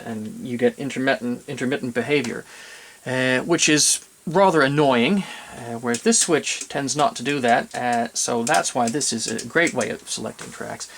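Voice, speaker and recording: A man's voice: medium pitch, fairly bright tone, slight background noise. A man presenting an unscripted, methodical demonstration of vintage audio gear, explaining step by step and correcting himself as he goes.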